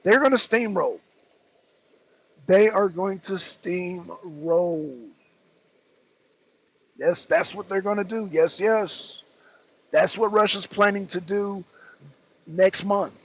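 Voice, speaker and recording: A man's voice: high voice, slow, long pauses, breathy edge. A man hosting a political talk show, giving blunt, emphatic commentary and repeating phrases for effect. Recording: dull lo-fi audio.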